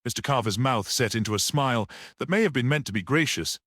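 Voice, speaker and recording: Animated male storyteller, close and dry. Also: mid-range voice, fast, dry recording. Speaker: audiobook reader. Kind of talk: scripted narration of a classic detective story.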